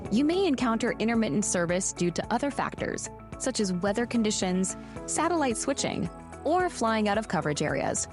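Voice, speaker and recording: A woman's voice: medium pitch, sing-song, normal speed. A woman delivering scripted promotional narration as an announcer for an airline video. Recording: loud music under the voice. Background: music.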